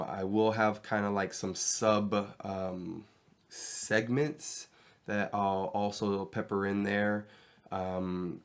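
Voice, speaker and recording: A man hosting his first home podcast, talking candidly and rambling with plenty of ums, his voice stuffy and nasal from a cold, recorded in a bedroom on a cheap mic. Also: on the low side. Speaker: podcast host. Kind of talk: casual solo podcast monologue. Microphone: inexpensive mic into a laptop.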